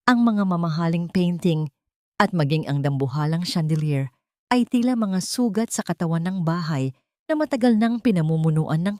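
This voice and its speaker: A woman's voice: deep voice, warm tone, no echo, studio quality. A woman with a Filipino accent narrating a dramatic audiobook story from a script.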